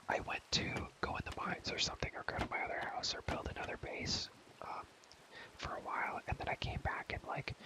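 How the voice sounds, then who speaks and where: A young man whispering close to the mic in a breathy, rambling ASMR gaming chat, softly repeating his phrases. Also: rough recording. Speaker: ASMR video creator. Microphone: close ASMR microphone.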